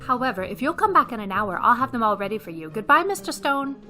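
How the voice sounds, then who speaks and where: A wry, wisecracking schoolteacher played by a woman in 1950s radio comedy style. Her voice sits fairly high and moves around a lot, delivering dry, sardonic lines. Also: big pitch swings, quick pace, bright, forceful tone. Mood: wry, hurried.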